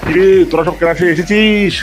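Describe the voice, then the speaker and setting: A man's voice: high-pitched, steady tone, a little fast, faint music behind, lightly acted, rough recording. A man voicing a bossy, patronizing schoolteacher in an amateur animal cartoon comedy. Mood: questioning, bossy.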